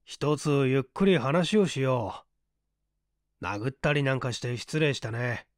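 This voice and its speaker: A man voicing a composed gentleman hero in a story reading, calm and politely menacing. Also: medium pitch, a little slow, no room sound, studio quality, close to an everyday voice. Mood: calm, controlled. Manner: calm, polite, clipped authority.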